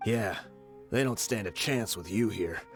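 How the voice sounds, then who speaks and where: A man's voice: lowish voice, unhurried, faint music behind, close to an everyday voice. An actor playing a loyal, eager male desert mercenary who encourages his comrades. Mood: steady.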